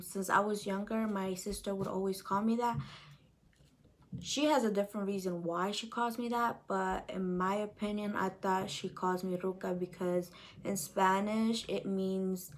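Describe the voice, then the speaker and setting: Chatty young woman from El Salvador. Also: medium pitch. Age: 20s. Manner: chatty, many fillers, addresses viewers directly. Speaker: vlogger. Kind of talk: casual Q&A vlog.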